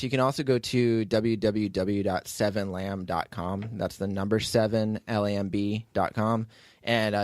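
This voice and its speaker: A man's voice: lowish voice, no room sound, studio quality. A man hosting a podcast, chatting casually and explaining things in a rambling way as he plugs shows. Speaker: podcast host and producer.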